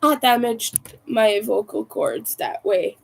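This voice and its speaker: A woman with a raspy, soft-spoken voice, sick and low on energy, chatting casually and hesitantly on a livestream. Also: lively intonation, takes it slowly. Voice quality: raspy from vocal cord damage. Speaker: livestream host, crafter.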